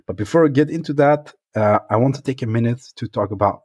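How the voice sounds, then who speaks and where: A man's voice: medium pitch, fast talker, darkish tone, slightly rough, dry recording. A man hosting a technology podcast, talking in a hesitant, casual way with many fillers. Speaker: podcast host. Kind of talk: casual interview chat.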